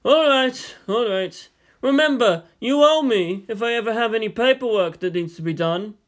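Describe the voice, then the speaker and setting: A man's voice: extremely high pitch, moderate pace, fairly bright tone, voice pushed up. A man voicing a cheerful, amused older Chinese strategist with a teasing, philosophical manner in an audiobook story. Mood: grudging, displeased. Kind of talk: dramatized audiobook dialogue.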